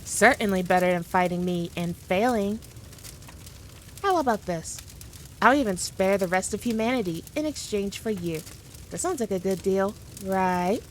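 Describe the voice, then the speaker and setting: A woman acts out a proud, playful demon queen in an audio roleplay. She speaks straight to the listener with condescending, teasing authority, at a middling female pitch, over faint background noise. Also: lightly acted. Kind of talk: scripted roleplay monologue addressed to the listener.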